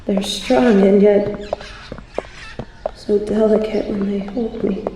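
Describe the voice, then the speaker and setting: A woman's voice: mid-range voice, steady tone, slow, dark tone, a little hiss or hum, natural voice. A woman voicing a snobby but secretly sweet young angel who stammers and gets flustered, in a romantic audio roleplay. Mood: shy wonder. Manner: stammering, flustered, haughty when embarrassed.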